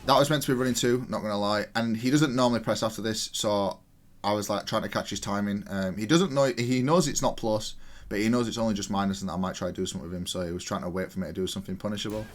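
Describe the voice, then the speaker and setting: A man's voice: fairly low pitch, fast talker. A man giving casual, matter-of-fact commentary as he analyses a video game match, explaining each move. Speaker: gaming content creator.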